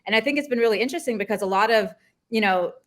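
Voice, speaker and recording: A woman's voice: a little high, very fast. A woman from Canada, a travel journalist speaking fluently and thoughtfully on a panel. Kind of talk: panel discussion.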